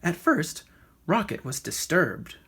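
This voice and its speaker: A woman reading a children's storybook aloud in an expressive narrating style. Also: on the low side, takes it slowly, slight background noise. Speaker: storybook reader.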